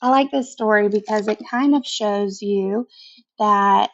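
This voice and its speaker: A woman reading a picture book aloud to children in an expressive, conversational way, pausing for casual asides of her own. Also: medium pitch, lo-fi sound. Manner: expressive, conversational, pauses to comment.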